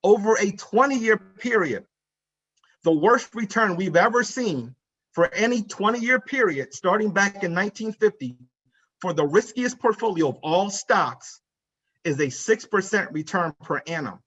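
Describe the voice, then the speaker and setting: High-voiced man in his forties presenting. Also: animated, measured pace, fairly bright tone, breathy edge, highs cut off. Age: 40s. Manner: emphatic, repeats key points, addresses audience directly. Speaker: financial advisor. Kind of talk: conference presentation. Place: conference room.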